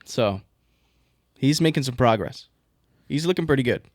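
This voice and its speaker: A man's voice: mid-range voice, animated, moderate pace, no room sound, clean studio sound. A young man co-hosting a podcast in casual banter, joking and trash-talking his friend. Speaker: podcast co-host.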